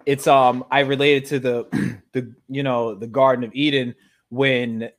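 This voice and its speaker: A man's voice: medium pitch, a little slow. An American man hosting a livestream, chatting casually in a slangy, joking way. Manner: slangy, joking, animated when quoting others. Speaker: host of a livestream dialogue show. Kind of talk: casual chat.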